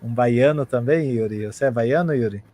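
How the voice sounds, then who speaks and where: A man's voice: mid-range voice, normal speed, mellow, slight background noise. A man from Paraguay hosting a live language class over a video call, chatting in a friendly, rambling way with many fillers. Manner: switches between Spanish and Portuguese, friendly, many fillers.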